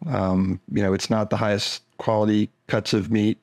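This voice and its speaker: A man with a low, gravelly voice gives relaxed opinions in a casual chat. He hesitates and restarts often, but stays laid-back. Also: normal speed. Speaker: vlogger's husband, co-reviewer.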